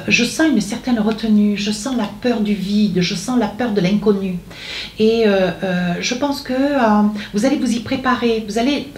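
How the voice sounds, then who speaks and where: A woman's voice: mid-range voice, a little hiss or hum. Hesitant woman reading tarot in a roomy space. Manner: meandering, hesitant, full of fillers and tag questions; intuitive, coaxing, speaks directly to the listener. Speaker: tarot card reader. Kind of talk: monthly astrology tarot guidance addressed to viewers.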